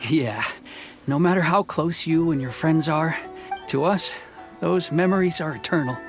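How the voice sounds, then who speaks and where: An actor playing a polite, modest tradesman in a video game, with a measured, courteous delivery. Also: a little high. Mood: wistful. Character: modest, polite adult male tradesman and boat builder. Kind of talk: English video game voice acting. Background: background music.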